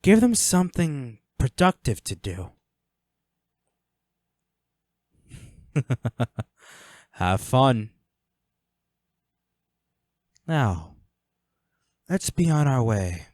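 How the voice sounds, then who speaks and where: A man's voice: mid-range voice, big pitch swings, slow pace, long pauses, darkish tone, no echo, studio quality. A man playing a flirty, smug villain henchman in a roleplay audio drama, taunting a captive in a teasing, coolly controlling manner. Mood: amused, commanding.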